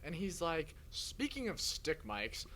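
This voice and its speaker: A man's voice: on the high side, wildly swooping pitch, takes it slowly, pushed and bright, slight background noise. A young American man hosting a casual podcast, steering the banter in a slangy, joking way on a stick mic. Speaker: podcast host. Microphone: stick microphone.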